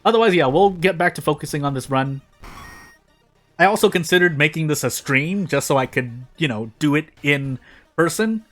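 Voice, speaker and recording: A man's voice: fairly high pitch, animated, moderate pace, fairly bright tone, faint music behind. A man doing casual gaming video narration and commentary in a rambling, self-deprecating way. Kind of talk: casual gameplay commentary and fanfic reading.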